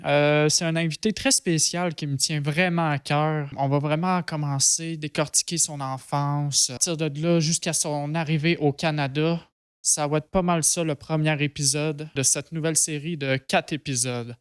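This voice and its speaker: Smooth-voiced host. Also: male voice, a little high, normal speed, dry recording, studio quality. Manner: relaxed, many fillers and hesitations. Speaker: podcast host. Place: studio.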